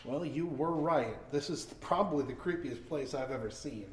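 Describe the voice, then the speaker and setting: A man's voice: fairly high pitch, moderate pace, slight background noise, lightly acted. An actor playing a skeptical, teasing man exploring an old building, with casual, reactive audio drama delivery. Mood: awed, creeped out. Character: skeptical, teasing male urban explorer with recorder. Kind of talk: naturalistic audio drama dialogue.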